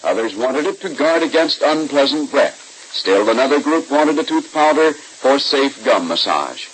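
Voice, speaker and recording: A man's voice: mid-range voice, a bit bright, husky, low-quality recording. A man as a 1940s radio announcer, reading scripted narration and ads in a polished, emphatic, selling manner. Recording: hissy old-time radio recording.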